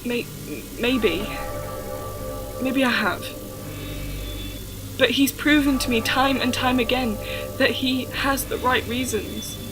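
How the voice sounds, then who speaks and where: A woman playing a loyal, defiant but shaken young companion in an audio drama, earnest and halting. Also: a little high, fairly even intonation, over music.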